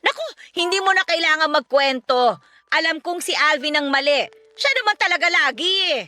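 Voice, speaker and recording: A woman playing a sharp-tongued, bossy, gossipy aunt in a Filipino radio drama, exclaiming bluntly with a Filipino accent. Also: sits high, expressive, a little fast, no room sound. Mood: indignant.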